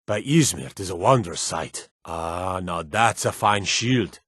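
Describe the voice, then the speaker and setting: Fantasy game guard, lowish pitch, dry close sound, blunt, wary, folksy. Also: male voice, lively intonation, slow, fairly bright tone, breathy edge, no room sound, exaggerated voice. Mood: admiring. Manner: blunt, suspicious, folksy, archaic turns of phrase. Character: gruff, wary male city guard in a fantasy realm. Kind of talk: video game character barks.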